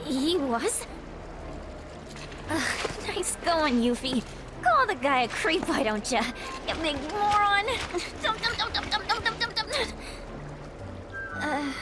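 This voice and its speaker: Super high excitable teen girl from a video game, brash n melodramatic, music behind. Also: slow pace, long pauses, heavily acted. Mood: embarrassed. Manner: theatrical, cheeky, self-scolding. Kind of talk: video game cutscene voice acting.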